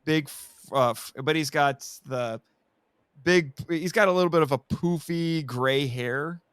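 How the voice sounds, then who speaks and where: A man's voice: on the high side, unhurried, a bit bright, clear, resonant tone, no echo, clean studio sound. A man co-hosting a casual film discussion, enthusiastic and emphatic, often repeating himself. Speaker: podcast co-host reviewing a film.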